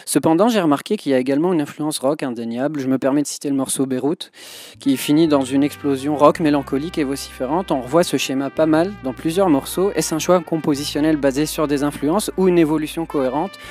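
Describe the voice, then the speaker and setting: A male radio interviewer reading out prepared questions in a formal, measured way. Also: on the high side, darkish tone, soft background music.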